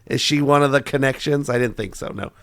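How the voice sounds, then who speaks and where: Hoarse, gravelly man hosting a podcast. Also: mid-range voice, a little fast, no echo, clean studio sound. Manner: chatty, thinks aloud with many fillers. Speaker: podcast host. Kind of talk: casual quiz chat.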